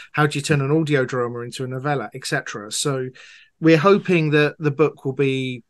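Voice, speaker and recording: A man's voice: medium pitch, dry recording. A man giving conversational, explanatory answers as an author being interviewed, talking at length.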